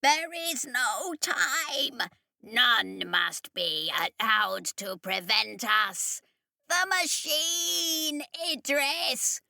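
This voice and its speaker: A woman voicing a slow, booming alien entity. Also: creaky, bright, forceful tone, dry recording, clean studio sound, heavily acted. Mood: ominous. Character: vast alien entity, ominous, urgent, obsessive.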